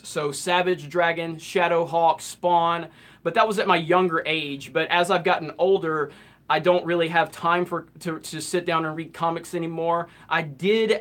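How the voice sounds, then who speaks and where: Late-30s guy doing a live q&a, kinda high voice, rambly and laid back. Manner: relaxed, rambling, reads comments aloud. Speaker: host. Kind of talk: live stream Q&A chat.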